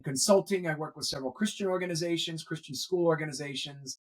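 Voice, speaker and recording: A middle-aged man, a grandfather, speaking earnestly as an interview guest, pausing often with fillers. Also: fairly high pitch. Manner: earnest, hesitant with many fillers. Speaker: guest, veteran educator. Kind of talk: conversational interview.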